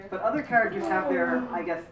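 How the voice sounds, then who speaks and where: A man's voice: high voice, fast. Chatty man answering questions far off. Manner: rambling, full of fillers and restarts. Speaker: memoir author and lead actor. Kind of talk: post-screening Q&A answers. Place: community center screening room. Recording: echoey room from far off with background noise.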